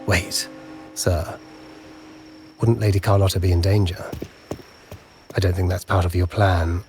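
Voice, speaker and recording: A man's voice: low-pitched, level tone, measured pace. An actor playing a concerned subordinate in a video game, questioning his superior in a hesitant, respectful way. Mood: worried. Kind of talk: dramatic video game voice acting.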